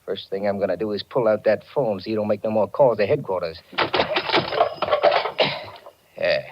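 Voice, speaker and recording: An actor playing a menacing, gun-toting American blackmailer in tough, slangy 1940s radio style. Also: male voice, on the low side, fast, natural voice. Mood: threatening. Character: menacing male blackmailer and murderer holding a gun. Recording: muffled, noisy 1940s radio recording.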